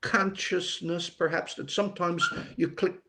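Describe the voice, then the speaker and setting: Older man, musing and halting. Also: sits high, flat delivery, pushed and bright. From Newfoundland, Canada. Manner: musing, pausing, repeats words for emphasis. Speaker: writer being interviewed. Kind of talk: reflective interview conversation.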